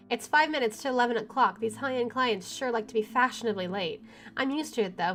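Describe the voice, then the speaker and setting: Chatty woman reading a visual novel. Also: fairly high pitch. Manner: chatty, reads narration then reacts aloud. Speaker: game streamer.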